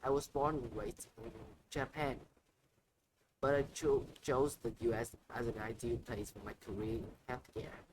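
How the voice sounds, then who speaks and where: A man voicing Kendrick, an earnest male guest who works in healthcare, speaking in a measured, slightly raised way. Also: medium pitch, fairly even intonation, natural voice. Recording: noisy ambient background.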